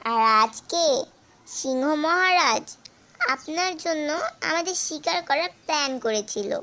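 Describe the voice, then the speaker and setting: A very high-pitched cartoon mother goat, played by a woman, sly and protective. She talks in a coaxing, glib way, sweet-talking like a born persuader. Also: animated, unhurried, sharp tone, full character voice. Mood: sly flattery. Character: clever mother goat, sly flatterer protecting her kid. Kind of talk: animated fable character acting.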